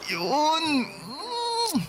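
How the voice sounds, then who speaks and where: An actor playing a vain, wheedling young kept boyfriend in a Tagalog radio drama, whining and coaxing cutely. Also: male voice, very high voice, wildly swooping pitch, drawn-out words, background noise, full character voice. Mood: delighted, coaxing. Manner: whiny, coaxing, cutesy pouting. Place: studio recording with music behind.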